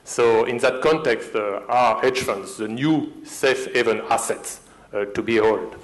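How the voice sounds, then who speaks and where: A man speaking as a panelist, hesitant and filling pauses with many uhs. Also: mid-range voice, low-quality recording. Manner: hesitant, many filler sounds. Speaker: panelist at a finance conference. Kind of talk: panel discussion.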